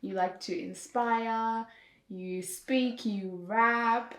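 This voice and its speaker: A young woman hosting an interview, asking questions in a slow, gentle way. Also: fairly high pitch, fairly even intonation. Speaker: host. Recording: echoey room.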